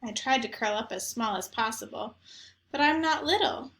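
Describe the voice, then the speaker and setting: A woman voicing an eager, naive, mischievous young dog telling his own picture-book story in an expressive, earnest way. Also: fairly high pitch, moderate pace, roomy sound, a little hiss or hum. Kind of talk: first-person picture book narration.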